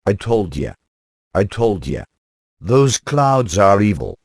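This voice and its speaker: An actor playing a paranoid man in a cartoon game, giving dire, insistent warnings in a gravelly voice. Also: fairly low pitch, very animated, slow pace, no echo, studio quality, heavily acted. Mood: paranoid, foreboding. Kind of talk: video game character acting.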